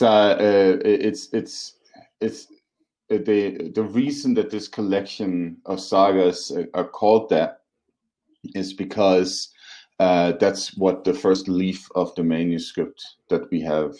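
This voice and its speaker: Deep, slow man explaining. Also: mellow. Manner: halting, hesitant, thoughtful. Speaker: academic and podcast co-host. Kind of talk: informal explanatory podcast talk.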